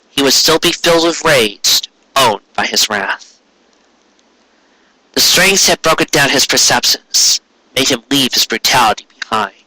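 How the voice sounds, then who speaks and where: A man reading a story aloud as an amateur narrator, in a dramatic, measured way with pauses. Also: medium pitch, pushed and bright, dry recording, rough recording. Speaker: amateur audiobook reader.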